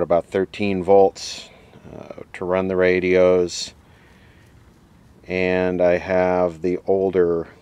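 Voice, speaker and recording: A man giving casual, unscripted hands-on commentary, rambling a little as he thinks aloud. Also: sits low. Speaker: vlogger demonstrating vintage radio equipment.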